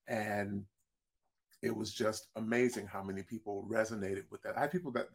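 A deep-voiced man with a gravelly, husky edge tells stories from his career as a singer-songwriter. He talks loosely and conversationally, doubling back on his words and chuckling between stories. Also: fairly bright tone. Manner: repeats words and restarts often, laughs between stories. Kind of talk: casual interview storytelling.

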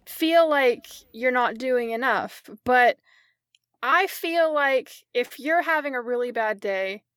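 Encouraging woman with a higher voice. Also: takes it slowly, a bit bright, no echo. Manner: encouraging, supportive. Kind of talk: casual chat.

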